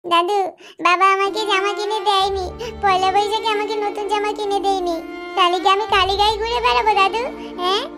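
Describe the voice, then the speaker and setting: A woman's voice: very high-pitched, steady tone, fairly quick, over music. A woman voicing a whining, pleading little grandson in a comedy cartoon. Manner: whiny, pleading. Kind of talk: Bengali comedy cartoon dialogue.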